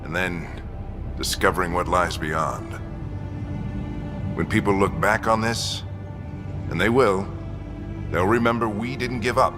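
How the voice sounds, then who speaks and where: A man playing a stoic older father who leads an expedition team in a video game, speaking in a measured, solemn, commanding manner. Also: sits low, music in the background. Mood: rousing, solemn. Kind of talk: cinematic video game acting.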